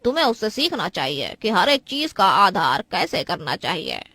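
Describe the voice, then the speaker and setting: Actress as older auntie guest in cartoon drama, bright forceful voice, moralising, dry sound. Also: female voice, medium pitch, sing-song, normal speed, no echo, full character voice. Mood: admonishing. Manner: admonishing, moralising. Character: preachy older female guest advising young wife. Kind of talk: Hindi cartoon family drama.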